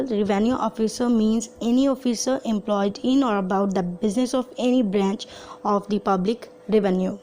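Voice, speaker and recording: A woman's voice: medium pitch, mellow, slight background noise. A woman with a Pakistani accent teaching in a methodical, explanatory lecture style, reading out and translating formal text. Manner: methodical, slow and deliberate when reading quoted text. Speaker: law lecturer.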